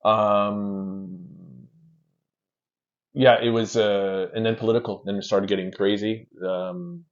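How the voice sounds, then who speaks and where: Low-voiced Canadian man telling stories. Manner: animated, repeats words in quick restarts. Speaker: interview guest. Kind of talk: casual chat, storytelling. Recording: dull lo-fi sound.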